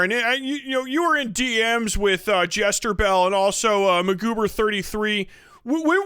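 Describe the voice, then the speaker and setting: A man's voice: high-pitched, pushed and bright, clean tone, dry recording, studio quality. A man casually ranting as a livestream host, blunt, scornful and swearing heavily. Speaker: livestream host reacting to a video. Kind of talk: casual livestream reaction rant, heavy swearing.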